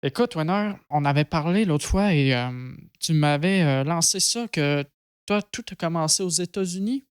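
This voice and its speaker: Man, podcast host, a bit high and clear, dry close mic. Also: normal speed, dry recording. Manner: relaxed, many fillers and hesitations. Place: studio.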